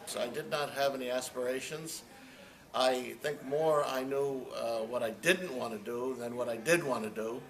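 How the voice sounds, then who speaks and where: A man's voice: medium pitch, normal speed, fairly bright tone, a little hiss or hum, rough recording. A man in his sixties, a former fraternity staff member, giving plain, reflective interview answers. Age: 60s. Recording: crowd chatter behind.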